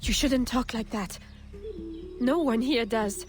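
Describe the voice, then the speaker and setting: Eerily contented worker, high voice. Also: female voice, slow, faint music behind. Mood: eerily serene. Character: eerily contented, fearful female camp worker. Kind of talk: dramatic video game cutscene acting.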